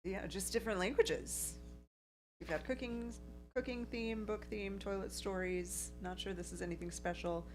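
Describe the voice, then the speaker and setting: A woman's voice: mid-range voice, steady tone, normal speed, soft background music. A woman in her thirties co-hosting a hobby livestream, chatting casually, reading viewer comments and laughing often. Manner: chatty, laughs often, picky about writing. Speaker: livestream co-host.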